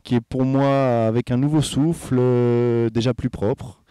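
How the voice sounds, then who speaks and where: Thirties male, mellow and smooth, loose rambling delivery, dry room. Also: medium pitch, steady tone, measured pace, dry recording. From born in Casablanca, Franco-Chilean. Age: 30s. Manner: rambling, filler-heavy, restarts phrases. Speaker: event company co-founder. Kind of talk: relaxed promo interview.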